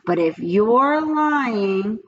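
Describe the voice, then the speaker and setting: Woman reading as an anxious, protesting schoolboy, lively. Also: fairly high pitch, very slow, dull audio, slight background noise, pitched above the performer's own voice. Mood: reluctant. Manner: hesitant, protesting. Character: anxious schoolboy wrestling with temptation. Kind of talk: children's storybook character voice.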